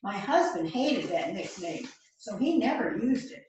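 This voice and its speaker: Lady playing a worried wife protesting innocence, slow n lively, super echoey noisy room. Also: on the high side, highs cut off. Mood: pleading. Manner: earnest, protesting, theatrical read. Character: anxious wife suspected of murder, pleading her innocence. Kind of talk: amateur readers theater rehearsal.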